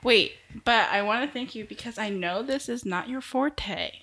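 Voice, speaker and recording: A woman hosting a casual faith-based podcast, friendly and giggly, with soft music behind her intro. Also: on the high side, moderate pace. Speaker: podcast host.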